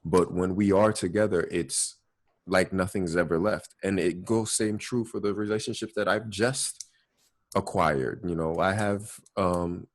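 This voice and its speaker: Young male host, very low voice, laid-back reflective chat. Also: steady tone, unhurried. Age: young adult. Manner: thoughtful, fillers like "you know".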